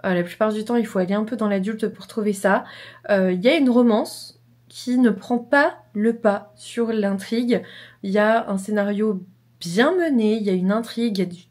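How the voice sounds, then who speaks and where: A woman's voice: mid-range voice, moderate pace, a little mellow. A young woman with a slight cold, chatting casually and enthusiastically in her vlog, rambling and repeating herself. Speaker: book vlogger.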